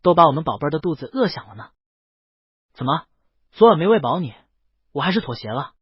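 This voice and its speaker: A man's voice: fairly high pitch, big pitch swings, quick pace, husky, no echo, exaggerated voice. A man voicing a proud, possessive, lovesick young man in an audiobook romance, coaxing and intense. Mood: teasing, coaxing. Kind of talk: audiobook character dialogue in a romance. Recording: dull lo-fi, very dry close recording.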